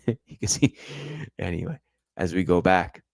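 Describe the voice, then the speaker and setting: Deep-voiced man reviewing a match. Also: expressive, a little slow, vocal fry, no echo, studio quality. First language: English. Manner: chatty, analytical, addresses viewers directly. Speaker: football podcast host. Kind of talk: live-stream match review.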